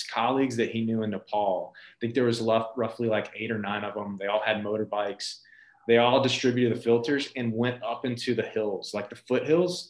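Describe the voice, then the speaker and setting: A man speaking as a nonprofit leader and interview guest, telling stories in an earnest, informal, conversational way. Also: lowish voice. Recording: roomy echo.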